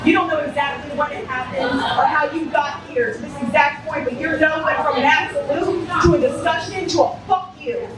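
A woman's voice: high voice, normal speed, few pauses, over loud music. A woman in her thirties performing spoken word poetry at an open mic in a small bar, reading from a book with intense, impassioned delivery. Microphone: stand mic, filmed by a far camera. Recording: echoey bar, from far off. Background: bar ambience.

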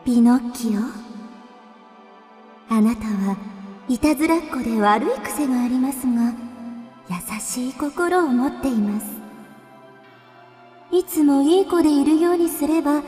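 A woman voicing a kind, gentle fairy goddess, speaking in a soft, measured, benevolent way. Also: high-pitched, animated, faint music behind. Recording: roomy echo with long reverb.

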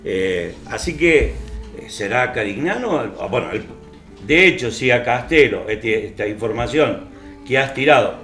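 A man in his sixties with an Argentine accent discussing politics off the cuff as a panel host, halting and reflective with frequent pauses. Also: medium pitch, lively intonation, a bit bright. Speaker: panel host on a regional TV talk show. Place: small TV studio at a panel table. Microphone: table microphone. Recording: roomy echo, faint music behind.

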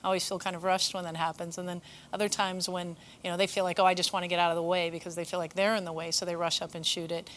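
A middle-aged woman coach talking through bowling rules in a relaxed, conversational way, drawing on her own experience, in a bowling center. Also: on the low side, quick pace, few pauses, a little hiss or hum. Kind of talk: instructional chat. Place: bowling center, seated at a table.

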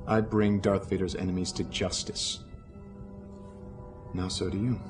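Low-voiced brooding young warrior. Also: male voice, little pitch movement, unhurried, music in the background. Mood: curt, cold. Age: young adult. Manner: terse, commanding, dry. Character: brooding young male warrior apprentice, curt and guarded. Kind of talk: video game cutscene acting.

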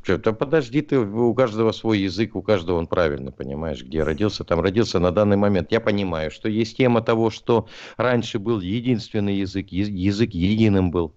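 A man's voice: fairly low pitch, animated, brisk, darkish tone, lo-fi sound. A man co-hosting a live stream, casually reading out viewer comments and answering them. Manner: chatty, reads viewer comments aloud, laughs. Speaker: stream co-host.

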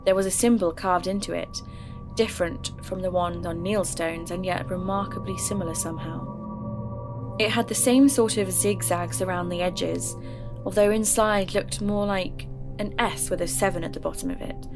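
A woman's voice: fairly low pitch, fairly even intonation, normal speed. A woman narrating her own audio drama in an earnest, curious manner. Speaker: narrator and lead character. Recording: music bed behind voice.

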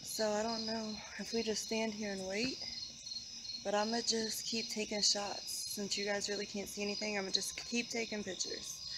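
A young woman with an American accent talks casually to her vlog viewers as she walks. Her voice has an average pitch. The handheld recording is noisy, with crickets and insects clearly heard outdoors at night. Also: flat delivery, normal speed. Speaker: paranormal vlogger. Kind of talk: casual vlog narration and chat. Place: outdoors at night around a train station. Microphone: handheld camera microphone.

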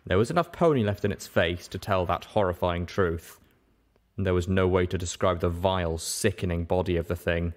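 A man's voice: sits low, a little fast. A man reading a first-person story aloud as scripted narration. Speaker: audiobook reader.

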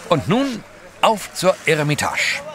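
Ein Schauspieler spielt in einem Hörspiel einen vornehmen, abenteuerlustigen britischen Gentleman, höflich und etwas wichtigtuerisch. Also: on the high side, huge pitch swings, unhurried, crowd noise. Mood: lecturing, amused. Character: genteel, adventurous British gentleman, fond husband. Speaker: actor in an audio drama. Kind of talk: German audio drama acting.

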